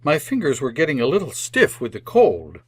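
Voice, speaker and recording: An actor playing a meek, kindly, poor clerk in old-time radio drama style, timid, polite and deferential. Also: male voice, mid-range voice, huge pitch swings, moderate pace, a little hiss or hum.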